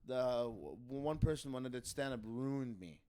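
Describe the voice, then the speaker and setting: A man's voice: mid-range voice, expressive, moderate pace, dark tone, smooth. A man chatting casually on a podcast, rambling, swearing and acting out the lines he quotes. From Germany and the United States. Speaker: podcast guest and amateur stand-up comic. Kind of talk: casual podcast chat with anecdotes.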